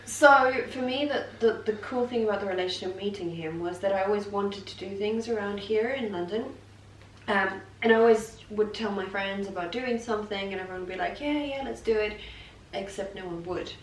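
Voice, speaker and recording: A woman's voice: mid-range voice, a little fast. A young woman from Poland, talking fluently and openly in a casual vlog chat. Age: young adult. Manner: fluent, chatty, open. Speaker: vlogger. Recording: echoey room, mic set far off, faint noise.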